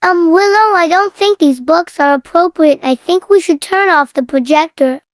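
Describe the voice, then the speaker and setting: A woman's voice: very high voice, moderate pace, dry recording. A woman making short, protesting interjections.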